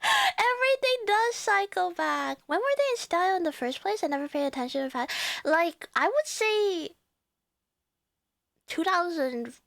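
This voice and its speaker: A young woman with a Cantonese accent chats with her viewers in a high, lively voice that swoops up and down. She giggles often and talks in a chatty, animated way. The sound is dry and close to the mic. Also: unhurried, creaky, no echo. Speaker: livestreamer. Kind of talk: casual chat with viewers.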